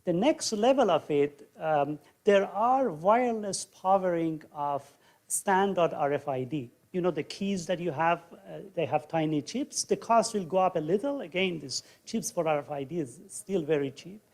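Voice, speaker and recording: A man's voice: on the high side, expressive, normal speed, a little mellow. A man on a panel answering audience questions, restating them and explaining technical answers step by step. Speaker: panelist and session moderator.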